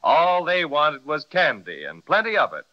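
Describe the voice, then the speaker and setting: Man, old radio storyteller, animated, addressing children, dull muffled recording. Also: fairly high pitch, normal speed. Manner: animated, addresses young listeners directly. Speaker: narrator.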